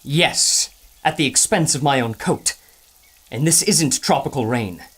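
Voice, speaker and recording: An actor playing a fussy scholarly young doctor who talks in a prim, protesting way. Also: male voice, medium pitch, a little slow, a bit bright, breathy edge. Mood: fretful. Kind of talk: audio drama acting.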